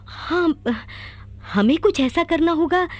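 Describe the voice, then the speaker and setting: High, animated loyal maidservant. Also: female voice, takes it slowly, dry recording, heavily acted. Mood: anxious. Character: anxious loyal female attendant of a warrior sultana. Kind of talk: Hindi radio drama acting.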